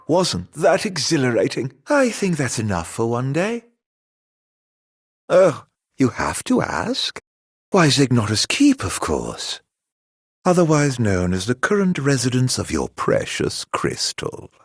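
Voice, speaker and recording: Theatrical video game villain, immortal and vengeful, gravelly husky voice, hugely swooping intonation, unhurried drawl, silky mock-polite taunting. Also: male voice, medium pitch, huge pitch swings, dry recording, studio quality, exaggerated voice. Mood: smug, theatrical. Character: theatrical, mocking immortal male villain bent on revenge.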